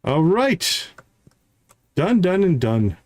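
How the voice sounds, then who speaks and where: A man's voice: on the high side, very animated, very slow, a little hiss or hum. A man giving casual live gameplay commentary, thinking aloud as he counts off items and talks through his tasks. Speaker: live streamer, gaming host.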